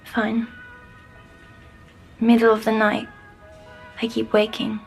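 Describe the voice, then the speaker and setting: Timid, haunted young girl, high and even. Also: gravelly, soft background music. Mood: timid, uneasy. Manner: hesitant, halting, softly descriptive. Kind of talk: scripted audio drama acting.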